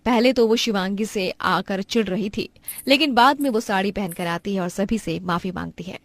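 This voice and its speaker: A woman's voice: mid-range voice, moderate pace, no echo. A woman narrating a scripted cartoon moral story.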